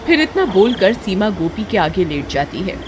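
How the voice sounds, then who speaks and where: A woman's voice: medium pitch, sing-song, moderate pace, darkish tone, slight background noise. A woman giving scripted narration of a horror story.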